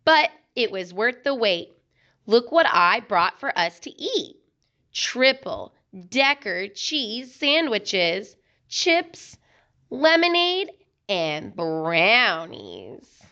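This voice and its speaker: Breezy storybook papa pig, played by a woman: easygoing, unhurried, with lively intonation. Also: medium pitch, dull audio, exaggerated voice. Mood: pleased. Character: easygoing, dawdling father pig.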